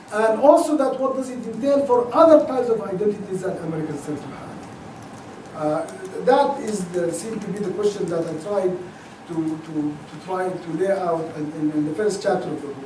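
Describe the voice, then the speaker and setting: A man from Sudan with a Sudanese accent, giving an academic lecture in a thoughtful, halting way full of restarts. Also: high-pitched, mellow, slight background noise. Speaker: guest lecturer. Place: lecture auditorium. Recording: echoey auditorium, mic at a distance.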